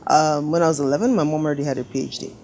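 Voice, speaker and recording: A middle-aged woman designer telling stories in a casual interview, lively and laughing often, doing little voices for her parents. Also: low-pitched, racing, a little hiss or hum. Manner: animated storyteller, laughs often, acts out quoted family voices. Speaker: fashion designer guest. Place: living room studio with sofas.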